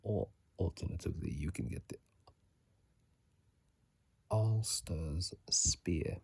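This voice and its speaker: A person whispering ASMR commentary about a video game in a husky, breathy, halting way, recorded on a phone microphone. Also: low voice, darkish tone, no echo. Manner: hesitant, halting, repeats words. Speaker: host of an ASMR channel.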